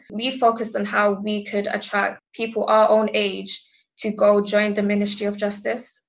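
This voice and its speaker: A teenage girl gives an earnest, careful testimonial. Her voice is flat in pitch with a gravelly fry. The recording sounds slightly dull and lo-fi. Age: teens. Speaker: school pupil.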